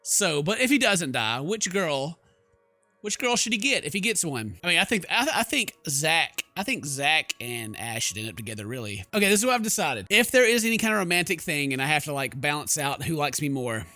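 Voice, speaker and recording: A man's voice: a little high, sing-song, a little fast, sharp tone, no room sound. A man giving casual comedic game commentary with a sarcastic, mocking and theatrical delivery. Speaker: let's play host. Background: game music.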